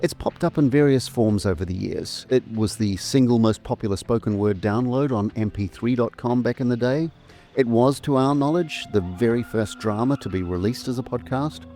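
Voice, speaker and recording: A man's voice: mid-range voice, moderate pace, dark tone. A middle-aged or older man presenting a scripted trailer as its narrator. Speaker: presenter and remastering producer. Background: faint music.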